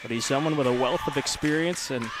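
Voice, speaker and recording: A man calling a college volleyball match as play-by-play announcer, giving lineups and background in a steady, informative way. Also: medium pitch, a little fast, noisy background. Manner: steady informative delivery with occasional stumbles over names. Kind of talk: live sports commentary.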